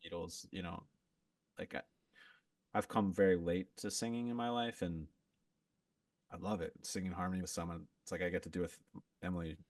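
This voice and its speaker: A man talking as a podcast guest in a relaxed, rambling way, full of fillers and restarts. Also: sits low, normal speed, long pauses, dry recording, recorded from a distance. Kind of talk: casual chat.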